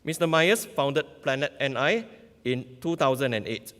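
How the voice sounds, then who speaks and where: A man's voice: medium pitch, animated, moderate pace, a bit bright. A middle-aged man reads a formal scripted introduction at a podium. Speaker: ceremony presenter introducing speaker. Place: large indoor auditorium stage. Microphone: podium gooseneck microphones. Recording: long hall reverb from auditorium PA.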